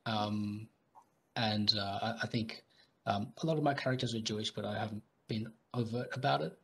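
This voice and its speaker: Young male panelist, lowish pitch, bright husky voice, hesitant. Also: moderate pace. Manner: hesitant, many ums. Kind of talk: video call panel discussion. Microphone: headset on video call.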